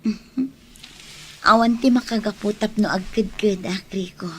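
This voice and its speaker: A woman playing a soft-hearted, hopeful young woman in love in an Ilocano radio drama. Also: medium pitch, unhurried, slight background noise, natural voice. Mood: tender.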